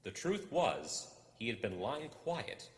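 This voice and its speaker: A man narrating a storybook expressively, in a measured, dramatic delivery with deliberate pauses. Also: medium pitch, very animated. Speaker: audiobook reader. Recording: roomy echo with long reverb.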